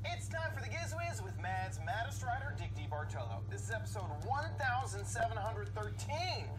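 A woman's voice: on the high side, sing-song, moderate pace, background noise. A woman reading a scripted podcast intro as the show's announcer, replayed through a small speaker. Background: loud music.

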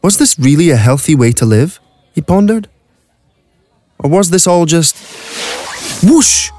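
A man's voice: a little high, big pitch swings, a little slow, warm tone, no echo, soft background music. A man narrating a scripted audio play as a dramatic storyteller. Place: dry recording studio.

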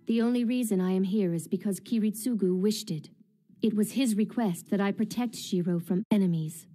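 A calm, proud young anime warrior woman acted by a woman. She speaks formally and dutifully at a medium pitch, with clear music behind her. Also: natural voice. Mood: calm, confident. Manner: formal, calm, measured wording. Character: composed, dutiful, proud young female protector. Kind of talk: anime dub acting. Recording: music under the voice.

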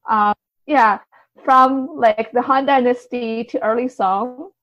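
A woman's voice: on the high side, fairly even intonation, takes it slowly, a bit bright. A woman explaining things as a podcast guest in a casual, tentative way, often hedging and saying "like". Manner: tentative, hedging, peppers talk with "like". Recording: dull, slightly lo-fi audio.

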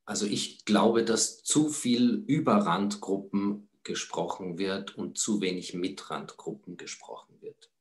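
A man's voice: deep voice, unhurried, hardly any pauses, echoey room. A man giving direct, matter-of-fact interview answers over a video call.

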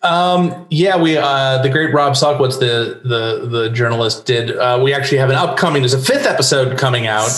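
A man's voice: medium pitch, moderate pace, hardly any pauses, a bit bright. A middle-aged man chatting casually as a guest in an interview. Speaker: guest writer. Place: book-lined room at home, indoors. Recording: roomy, echoey video call.

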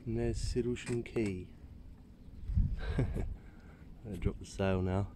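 A man's voice: fairly low pitch, steady tone, slow, noisy background. A middle-aged man from England talking cheerfully to an action camera while sailing a small dinghy outdoors, chuckling as he goes. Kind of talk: casual running commentary. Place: outdoors on a small sailboat on a tidal harbour. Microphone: action camera on the boat.

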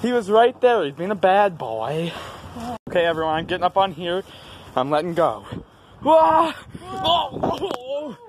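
Man playing goofy excitable toy character, high voice, swoopy, windy outdoor phone recording. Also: a little slow. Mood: excited. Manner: playful, yelping and whooping while chasing bags. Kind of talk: silly outdoor play video. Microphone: handheld phone.

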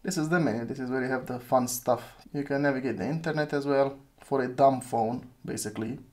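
A man's voice: medium pitch, brisk, darkish tone. Chatty man reviewing an old phone. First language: Romanian. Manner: chatty, thinks aloud, laughs at own slips. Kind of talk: casual hands-on review.

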